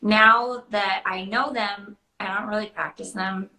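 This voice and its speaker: A woman's voice: mid-range voice, steady tone, a little slow, pushed and bright. A woman answering questions in a casual, informal chat, peppering her hesitant phrasing with "like". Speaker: guest answering questions about character voices. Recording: roomy, echoey sound.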